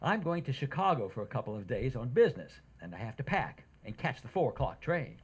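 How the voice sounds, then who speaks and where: Woman as a low, husky sitcom husband. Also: sing-song, a little fast, heavily acted. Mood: amused, easygoing. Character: good-natured, exasperated banker husband, a man. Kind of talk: old-time radio sitcom acting in community theater.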